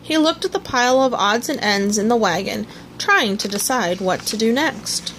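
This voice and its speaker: A woman reading a children's story aloud in an expressive storytelling manner. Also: fairly high pitch, moderate pace, background noise. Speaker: storybook reader. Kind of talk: scripted children's story narration, read aloud.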